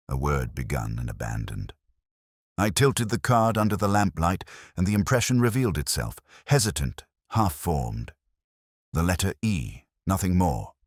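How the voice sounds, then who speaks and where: A man narrating a detective mystery in the first person, measured, literary and brooding. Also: sits low, no room sound, studio quality.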